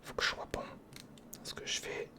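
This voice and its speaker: A man giving whispered ASMR commentary on a hands-on build, murmuring to himself as he thinks and chatting informally to the viewer. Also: moderate pace. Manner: murmurs to himself while thinking through steps; informal, chummy address to the viewer. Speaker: ASMR video host.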